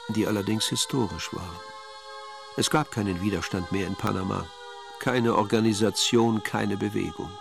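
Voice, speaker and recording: Low, creaky male narrator over music. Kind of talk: scripted radio drama narration.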